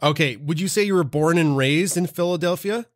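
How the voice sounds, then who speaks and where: Man host, fairly high voice, dry close sound, casual and jokey. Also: brisk, no room sound. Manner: friendly, jokey, asks rambling questions. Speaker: podcast host. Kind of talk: casual interview chat.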